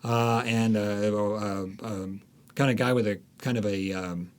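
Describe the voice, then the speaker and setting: Male author guest, lowish voice, conversational interview storytelling, slips into animated quoted asides. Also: unhurried.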